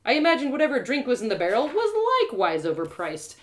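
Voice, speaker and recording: Woman reading her own story out loud, super animated, swoopy voice. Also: on the high side, quick pace. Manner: expressive, animated narration. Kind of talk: one-woman audio drama reading.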